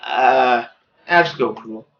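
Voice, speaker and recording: A woman's voice: very deep voice, slow, dull audio. A woman reading a visual novel aloud as a gaming host, mixing narration with casual asides.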